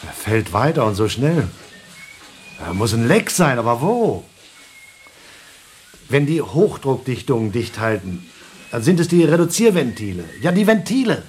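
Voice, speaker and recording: An exasperated male crewman in a radio drama, mid-pitched and highly animated. He sounds anxious and urgent, moving between agitated demands and muttering. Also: measured pace, pauses often, a little hiss or hum. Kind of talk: German radio play acting.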